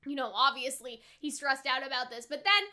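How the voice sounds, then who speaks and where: A woman's voice: sits high, steady tone, fairly quick, sharp tone. A young adult woman giving casual reaction commentary in an informal, slangy way, often tossing in rhetorical questions. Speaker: reaction video host.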